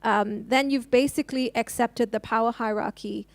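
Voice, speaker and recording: A woman from Hong Kong who works as a lawyer speaks on a discussion panel, pausing often with fillers as she thinks. Her voice sits a little high. The sound is dry and close. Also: steady tone, no room sound. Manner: thoughtful, with many fillers and restarts.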